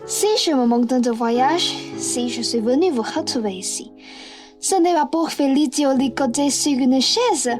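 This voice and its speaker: A very high, youthful female voice, with music underneath. A young woman plays a headstrong girl in love, pleading and ordering by turns in a French comic radio play. Her French. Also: unhurried. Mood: indignant, insistent. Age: young adult. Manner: insistent, pleading, bossy. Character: lovestruck headstrong young woman, eager to join a thief.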